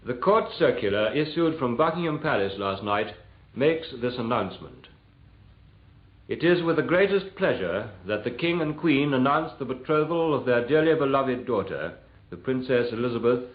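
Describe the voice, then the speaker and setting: A man with a British accent reads a formal 1940s radio bulletin in a measured way. His pitch is average. The sound is echoey and heavily band-limited, like a vintage broadcast. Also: animated, narrow, tinny audio. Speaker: radio newsreader.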